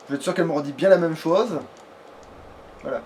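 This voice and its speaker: A man's voice: a little high, brisk, darkish tone. A man playing a game on a let's play, chatting casually and thinking aloud in sweary, colloquial speech, often grumbling to himself. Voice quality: foul-mouthed, colloquial speech. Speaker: let's play gamer at the controls. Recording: noisy home recording, clear background noise.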